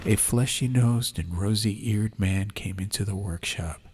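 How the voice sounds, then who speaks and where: A man's voice: fairly low pitch, measured pace, darkish tone, husky. A man reading an atmospheric fantasy audiobook as a scripted storyteller. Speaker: audiobook narrator. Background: music.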